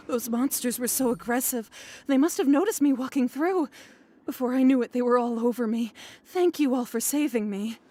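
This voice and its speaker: A woman's voice: a little high, moderate pace, dark tone, a little hiss or hum, natural voice. A woman playing a polite, earnest young female scholar in a video game, with an apologetic air. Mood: relieved. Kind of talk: video game character acting.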